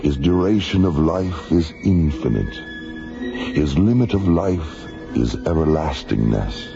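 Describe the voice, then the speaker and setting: Casting: grave mystical narrator, gravelly and slow, for dramatic radio narration. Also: male voice, a bass voice, lively intonation, full character voice. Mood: solemn. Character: solemn, mystical male narrator reciting ancient scripture. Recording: dull radio recording, loud music behind.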